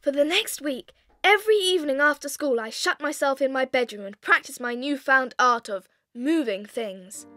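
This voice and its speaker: An actress playing a clever, determined little schoolgirl who narrates her own story in a measured way. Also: high-pitched. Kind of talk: scripted first-person narration, dramatised audiobook. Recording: instrumental music behind the voice.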